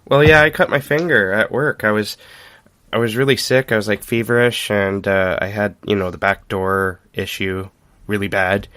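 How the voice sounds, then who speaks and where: A man hosting a podcast in casual chat, telling things in a halting, informal way with frequent chuckles. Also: lowish voice, fairly even intonation, pushed and bright, clear, resonant tone, dry recording. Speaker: podcast host.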